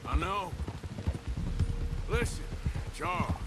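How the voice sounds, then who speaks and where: A man playing a world-weary, loyal American outlaw with a rough drawl in a western game. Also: high voice, expressive, slow pace, very noisy. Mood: weary, subdued. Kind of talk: cinematic western game dialogue. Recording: dramatic music bed underneath.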